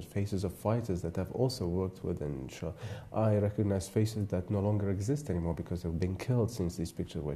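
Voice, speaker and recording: A man from Iraq, a photographer serving on a jury, giving thoughtful, halting interview answers with many fillers and restarts. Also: sits low, dark tone, a little hiss or hum.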